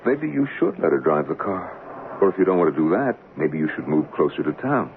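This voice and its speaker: Protective friend, very deep and gravelly, measured and soothing, tinny radio. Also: male voice, big pitch swings. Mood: concerned. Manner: soothing, measured, gently insistent. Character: calm, protective older family friend. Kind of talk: 1970s radio drama acting. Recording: tinny, hissy old radio broadcast.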